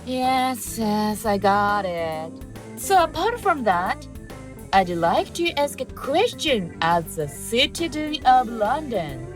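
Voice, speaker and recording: A woman's voice: fairly high pitch, big pitch swings, takes it slowly. A woman playing an eager, polite and inquisitive young woman in an audio drama, with music behind her. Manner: bright-eyed, inquisitive, helpful.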